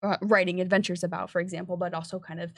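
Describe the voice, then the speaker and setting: A woman's voice: lowish voice, little pitch movement, rapid-fire, a bit bright, no echo, clean studio sound. A woman in a casual panel chat about games, explaining things with enthusiasm as a game designer.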